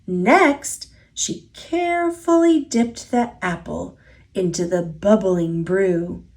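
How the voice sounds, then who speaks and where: A woman reading a children's picture book aloud as a storyteller, expressive and deliberate, drawing out key words. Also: mid-range voice. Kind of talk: children's story read-aloud, scripted narration.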